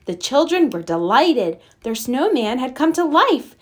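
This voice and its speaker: A woman reading a children's storybook aloud as narrator, with expressive storytelling. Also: high voice, unhurried. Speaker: storybook reader.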